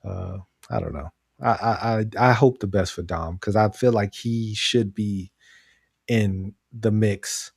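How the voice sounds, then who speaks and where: A man's voice: fairly low pitch, slow, creaky, husky, no room sound, clean studio sound. A man co-hosting a podcast in casual chat, giving his opinions and repeating phrases for emphasis. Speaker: podcast co-host. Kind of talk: casual chat, pro wrestling commentary.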